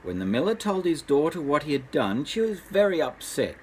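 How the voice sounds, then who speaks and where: An older man, a grandfather, narrating a children's bedtime story in an unhurried, expressive way. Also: fairly high pitch, a little hiss or hum, rough recording.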